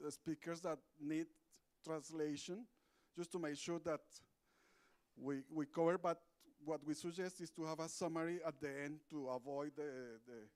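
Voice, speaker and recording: A man's voice: a little high, fairly even intonation, normal speed, darkish tone. A man moderating a conference side event, friendly and loose, with fillers and restarts. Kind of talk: meeting moderation. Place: conference room.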